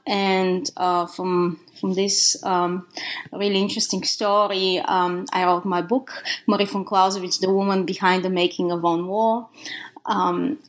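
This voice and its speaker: A woman from Bulgaria with a Bulgarian accent, talking as a guest author in a podcast interview, pausing often with restarts and ums. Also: fairly low pitch, level tone. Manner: halting, many restarts and ums.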